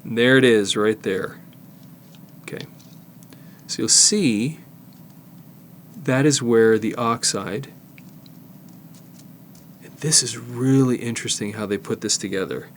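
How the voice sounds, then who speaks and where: An older man giving a casual hands-on demonstration, explaining haltingly and thinking aloud as he works. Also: fairly low pitch, a little hiss or hum. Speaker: host, tape digitizing technician. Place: studio, indoors.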